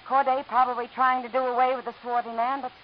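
A woman's voice: high voice, flat delivery, fast, natural voice. An actress playing a nervous, hesitant young woman who stammers, in 1940s radio acting. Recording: hissy dull old radio broadcast.

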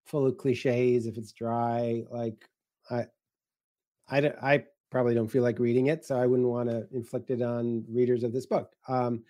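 Mellow, halting man in casual discussion. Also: mid-range voice, flat delivery. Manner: hesitant, halting with many fillers. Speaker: panelist, science writer and anthology editor. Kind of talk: casual panel talk.

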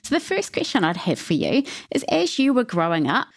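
A woman's voice: a little high, wildly swooping pitch, brisk, gravelly, no echo, studio quality. A woman hosting a podcast as a career coach, reading her intro and asking questions in an encouraging manner. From New Zealand.

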